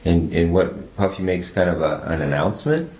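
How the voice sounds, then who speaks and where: A man's voice: low-pitched, moderate pace, a little mellow. An American man questioning someone as a police interrogator, methodically clarifying and steering back to the account. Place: indoor police interview room. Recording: echoey, noisy interrogation room audio.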